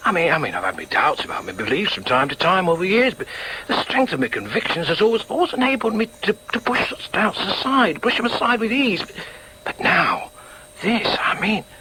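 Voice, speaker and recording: A middle-aged Northern English father in a radio drama, agitated and full of self-doubt. His voice sits fairly high and swings widely as he stammers and mutters to himself. There is noticeable noise behind him. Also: sharp tone, exaggerated voice, poor audio.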